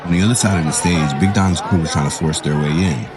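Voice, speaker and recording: Super deep guy narrating a drama story, measured short phrases, music underneath. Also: a loud crowd. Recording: music behind the voice.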